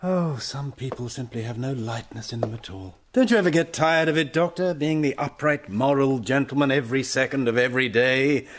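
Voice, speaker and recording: An actor playing an urbane, cunning criminal in a radio drama, with a suave, mocking, theatrical manner. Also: male voice, medium pitch, a little fast, few pauses, slight background noise, close to an everyday voice. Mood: mocking, amused. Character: urbane, smug, cunning criminal mastermind, a man.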